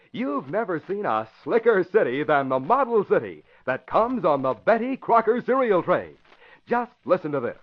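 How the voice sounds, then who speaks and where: A male announcer from 1940s radio reads scripted copy with polished emphasis. His pitch moves in lively, wide swings. The sound is the dull, narrow tone of an old broadcast. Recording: muffled vintage radio broadcast.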